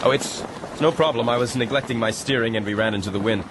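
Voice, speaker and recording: An actor playing an earnest, considerate gentleman castaway in a radio drama, with a mild, hesitant, courteous manner. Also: medium pitch, quick pace, lightly acted. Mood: practical. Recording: noisy boat and water ambience behind.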